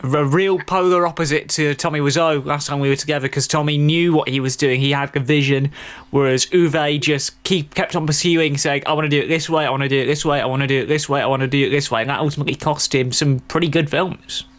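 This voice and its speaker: A man's voice: on the high side, steady tone, fast talker. A man co-hosting a podcast, talking in casual film review chat. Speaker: podcast co-host.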